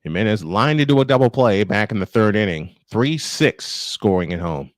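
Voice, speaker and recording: A man's voice: on the low side, lively intonation, normal speed, no room sound. Man calling baseball, dry close sound. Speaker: baseball play-by-play host. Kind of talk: live sports commentary with casual banter.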